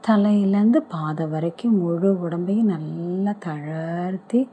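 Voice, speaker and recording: A woman leading a guided relaxation meditation, giving soothing, gentle step-by-step instructions with pauses. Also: on the low side, phone-quality sound, slight background noise.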